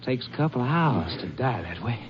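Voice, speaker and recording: A man's voice: medium pitch, sing-song, a little fast, lightly acted. An actor playing a sadistic young male killer in an old radio crime drama, taunting and sneering. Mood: cold taunting. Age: young adult. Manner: taunting, sneering, cackling laughter. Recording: muffled, very noisy old radio recording.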